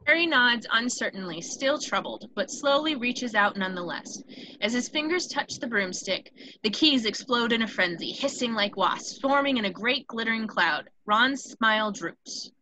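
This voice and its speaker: A woman's voice: on the high side, animated, moderate pace, bright, forceful tone, a little hiss or hum, rough recording. A woman reading stage directions as the narrator of a scripted screenplay table read.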